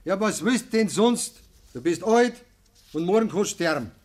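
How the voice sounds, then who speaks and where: An actor playing a self-righteous, wheedling village mayor in a rural radio play, speaking Bavarian dialect in an insistent, folksy, self-justifying way. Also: male voice, high-pitched, lively intonation, moderate pace. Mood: pressing.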